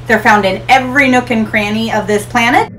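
A middle-aged woman hosting an ocean education channel, talking to camera in a small room with an upbeat, expressive manner. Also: fairly high pitch, a little fast, a little hiss or hum. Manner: upbeat, expressive, gestures while explaining. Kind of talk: scripted educational talk to camera. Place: small room in front of a hanging tapestry.

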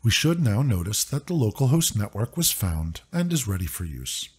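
Man, software tutorial narrator, husky, animated delivery, scripted walkthrough. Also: fairly low pitch, normal speed. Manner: clear, instructive, upbeat step-by-step. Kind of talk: scripted screen-recording walkthrough.

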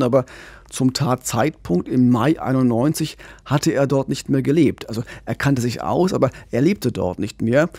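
A man in his forties co-hosting a true-crime podcast, explaining the case in a calm, measured way into a studio microphone. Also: mid-range voice, creaky, no room sound. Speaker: podcast co-host. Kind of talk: scripted true-crime narration. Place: small radio studio. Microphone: studio condenser mic with pop filter, headphones.

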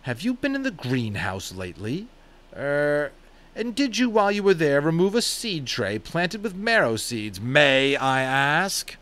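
Man doing a strict quick-tempered dad, loud, higher and lively, sharp questions. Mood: stern. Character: stern quick-tempered father, middle-aged man.

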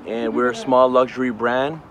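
A man's voice: mid-range voice, level tone, moderate pace, fairly bright tone, dull audio. A man in his forties from Hawaii, co-owner of a small brand, interviewed outdoors on a red carpet with a handheld mic, talking in an upbeat, rambling way full of fillers and restarts.

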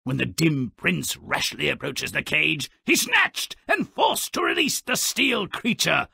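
An actor voicing a sardonic, gleefully morbid gnome-like troublemaker who tells fairy tales in a mocking, theatrical, gravelly way for a cartoon game. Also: male voice, on the high side, sing-song, unhurried, pushed and bright, dry recording, studio quality, exaggerated voice. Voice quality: gravelly, raspy. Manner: theatrical, sarcastic, mocking storyteller. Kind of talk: scripted cartoon game narration.

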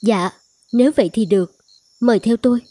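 An actress playing a polite, soft-hearted, emotional young woman in an animated cartoon, with earnest delivery. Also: fairly high pitch, a little fast, dry recording, lightly acted. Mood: hesitant, kind. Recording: music behind.

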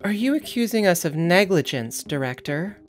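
Casting: woman playing stern council interrogator, deep female register, cold and authoritative, audio drama. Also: sing-song, faint music behind. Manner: authoritative, deliberate, severe.

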